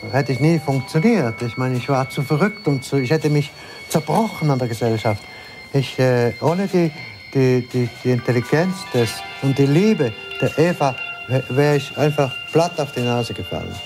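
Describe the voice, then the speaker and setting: A man's voice: mid-range voice, moderate pace. A man reminiscing unscripted, searching for words and restarting his phrases. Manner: hesitant, with repeated searching restarts. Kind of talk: reminiscing in halting, unscripted speech. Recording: music under the voice. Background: music.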